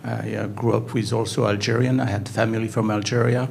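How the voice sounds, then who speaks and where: Casting: lowish, gravelly male audience member from Morocco, earnest and halting, faint noise. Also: little pitch movement, darkish tone. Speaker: audience member asking a question.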